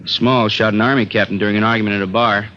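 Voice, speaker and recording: An actor playing a businesslike policeman reading a bulletin, measured and official, in a 1950s radio drama. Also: fairly low pitch, steady tone, lightly acted. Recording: dull, hissy vintage radio recording.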